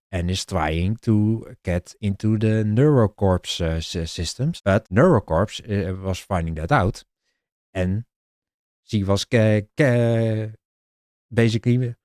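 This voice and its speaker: A man with a Dutch accent pitching his indie game idea in a casual vlog, halting and restarting often and clearing his throat. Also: a little mellow, no room sound, studio quality. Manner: halting, many restarts and filler sounds.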